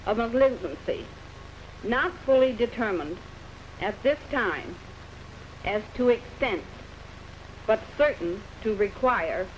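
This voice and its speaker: A woman with an American accent, a press secretary reading an official statement aloud in short, formal phrases. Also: fairly high pitch. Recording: dull 1950s news recording, faint noise.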